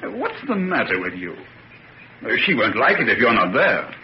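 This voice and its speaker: Breezy blustery english chap, old radio play, voice jumps all over, dull sound. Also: male voice, medium pitch, very animated, normal speed. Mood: concerned, chatty. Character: breezy, blustering young English gentleman friend. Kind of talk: old-time radio drama acting. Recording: muffled, noisy old radio recording.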